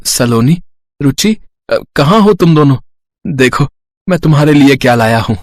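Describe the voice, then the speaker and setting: A man's voice: medium pitch, animated, normal speed, a little hiss or hum, lightly acted. A man playing a humble, tender elder brother from a poor family in a moral story, with an earnest, emotional delivery. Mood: affectionate. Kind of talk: dramatised Hindi moral story acting.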